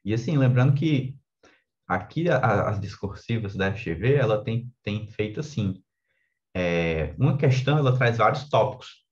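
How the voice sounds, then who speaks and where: A man's voice: lowish voice, expressive, moderate pace, lo-fi sound. A man with a Brazilian accent giving an informal explanatory lesson as an exam coach, conversational and often tagging his points with little check-in questions. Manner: conversational, frequent tag questions seeking agreement.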